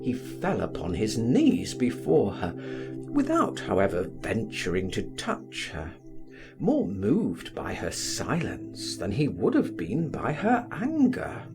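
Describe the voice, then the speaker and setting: A man reading a literary audiobook aloud in a theatrical, deliberate, expressive style. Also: mid-range voice, vocal fry, over music. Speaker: audiobook reader.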